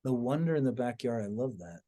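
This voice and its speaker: A man's voice: mid-range voice, animated, brisk, mellow. A man hosting a podcast in a casual, conversational style, informal and enthusiastic. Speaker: podcast host.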